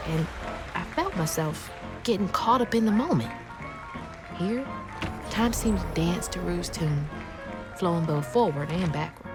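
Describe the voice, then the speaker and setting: A woman's voice: lowish voice, a little slow, pauses often, close to an everyday voice. An actress playing a determined, anxious young Southern US woman searching for her mother in a video game, earnest and emotionally open. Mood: reflective. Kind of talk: cinematic video game acting with reflective narration. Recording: music behind the voice.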